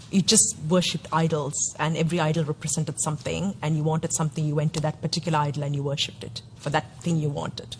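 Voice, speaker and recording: A woman's voice: low voice, slight background noise. A woman from Sri Lanka giving a personal testimony in an interview, hesitant, with many fillers and restarts.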